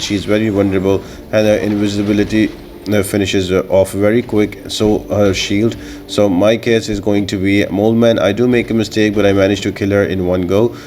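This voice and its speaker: Guy with a lowish voice doing a game walkthrough, explaining tips casually, music playing behind him. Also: a little mellow. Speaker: game guide video host. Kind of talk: gameplay tips commentary, explanatory.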